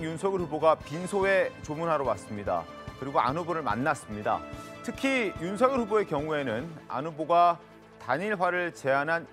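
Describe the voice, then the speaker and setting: A man hosts a TV news talk show with a lively, swinging intonation. His voice sits a little high. He presents in a conversational broadcast manner. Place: TV studio.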